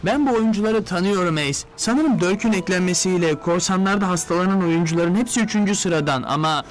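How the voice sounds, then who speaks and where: A man playing an eager, impatient young sidekick in a dubbed cartoon, youthful and excitable. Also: high voice, brisk, lightly acted. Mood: curious. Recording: music behind.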